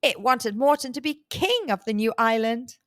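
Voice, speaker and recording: A woman hosting a children's storytime, reading aloud expressively with deliberate, dramatic emphasis. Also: fairly high pitch, expressive, fairly bright tone, dry recording, studio quality. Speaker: children's storytime host and narrator.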